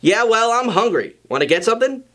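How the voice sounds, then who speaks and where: A man's voice: high voice, very animated, brisk, a bit bright, pitched above the performer's own voice. A man voicing playful, sheepish young students bantering casually in a story reading.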